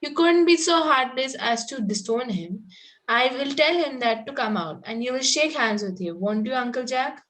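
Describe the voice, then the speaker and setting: A woman's voice: high voice, lively intonation, normal speed, a bit bright, slight background noise, rough recording. A woman playing a sweet, eager, coaxing young Victorian girl in a play read-through. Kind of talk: amateur play table read.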